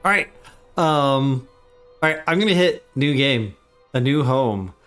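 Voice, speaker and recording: A man's voice: mid-range voice, animated, unhurried, a bit bright, soft background music. A man, a father of young kids, chatting casually while livestreaming a game, his voice a little congested. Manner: chatty, upbeat, greets chat often. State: slightly congested from a cold. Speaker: livestream gamer.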